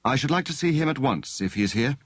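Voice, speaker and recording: Guy doing a brisk confident gentleman detective, audiobook style, polite but in charge, lively pitch. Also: no echo, natural voice. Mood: brisk, insistent. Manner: authoritative, courteous.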